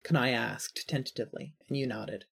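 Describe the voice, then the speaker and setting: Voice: mid-range voice, brisk, creaky, fairly bright tone, slightly rough. A narrator reading a scripted second-person story for an audio drama.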